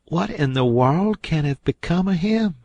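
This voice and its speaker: A man voicing an excitable, motherly farm wife who fusses anxiously in a high, fluttering voice. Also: a little slow, no echo, lo-fi sound. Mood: fretful.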